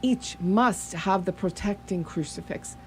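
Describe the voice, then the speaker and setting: Woman acting a slow, solemn vampire hunter. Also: medium pitch, lightly acted. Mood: grave, urgent. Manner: measured, solemn, formal. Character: grave, resolute vampire hunter, leader of the band. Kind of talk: radio theatre acting. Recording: radio play with background hum.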